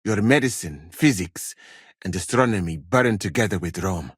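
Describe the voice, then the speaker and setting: An actor playing a proud, learned Malian physician in a video game, speaking formally and politely with a husky voice. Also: male voice, low voice, lively intonation, no room sound, clean studio sound. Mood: lecturing, wry. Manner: formal, measured, uncontracted speech with wry politeness. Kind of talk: video game character dialogue.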